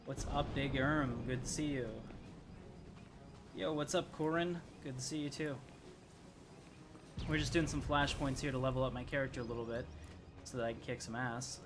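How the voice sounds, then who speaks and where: A man's voice: medium pitch, fairly even intonation, moderate pace, pauses often, noisy background. A man giving casual live gameplay commentary as a streamer, narrating his own moves in a self-deprecating way. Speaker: game streamer. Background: game music.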